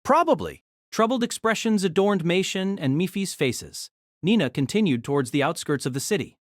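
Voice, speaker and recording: A man narrating a novel aloud in an expressive storytelling manner. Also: high-pitched, moderate pace, no room sound, studio quality.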